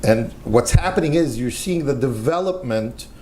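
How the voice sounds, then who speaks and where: A man's voice: medium pitch, expressive, moderate pace, mellow, slight background noise. Earnest older American man on a panel. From United States. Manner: earnest, emphatic, repeats key phrases. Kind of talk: panel discussion.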